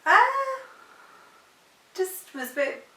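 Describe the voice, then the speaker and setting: Woman, 40s, bright, higher voice, run-on storytelling, roomy echo. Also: slow pace. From London. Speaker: interviewee. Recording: roomy echo, distant mic.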